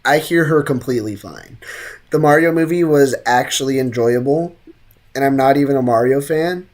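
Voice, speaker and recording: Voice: medium pitch, moderate pace, darkish tone. A game streamer giving casual livestream commentary in a joking, slangy way.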